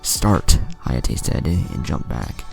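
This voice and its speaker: Very deep, gravelly male story narrator. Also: animated, brisk, a little mellow, soft background music. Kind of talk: narrating anime fan fiction.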